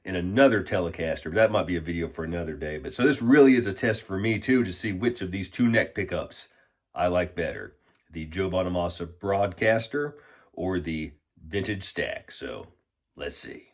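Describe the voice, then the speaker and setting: A man talking casually and in a measured, conversational way as he hosts a guitar gear review. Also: sits low, expressive. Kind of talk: casual product review talk.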